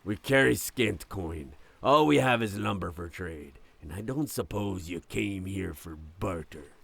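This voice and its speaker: Raspy, gruff sea captain. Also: male voice, low voice, expressive, fairly bright tone, dry recording, full character voice. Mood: gruff, guarded. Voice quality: raspy, gravelly. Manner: gruff, measured, commanding. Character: gruff, wary old-fashioned sea captain of a lumber ship. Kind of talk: cinematic audio roleplay acting.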